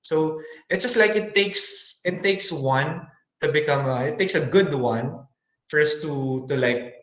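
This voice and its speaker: A man giving an earnest webinar talk on teaching science in English, pausing often with fillers and restarts. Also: a little high, fairly bright tone, echoey room, low-quality recording. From Philippines. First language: Filipino.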